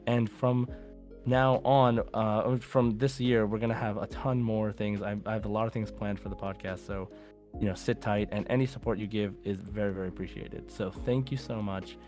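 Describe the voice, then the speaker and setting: A man's voice: lowish voice, steady tone, normal speed, over music. A man hosting a podcast in a casual, informal way, addressing listeners as guys. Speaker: podcast host.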